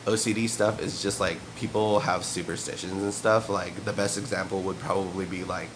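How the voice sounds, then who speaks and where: A man's voice: lowish voice, noisy background. Sniffly young man rambling to camera. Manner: loose and meandering, filler-heavy, frequent throat clearing and chuckles. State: sniffly with a cold and cough. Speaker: vlogger. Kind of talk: rambling casual monologue to camera. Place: room at home. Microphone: camera mic.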